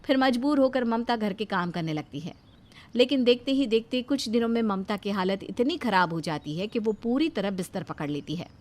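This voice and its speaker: A woman narrating a moral cartoon story in a steady, dramatic way. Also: fairly high pitch, moderate pace.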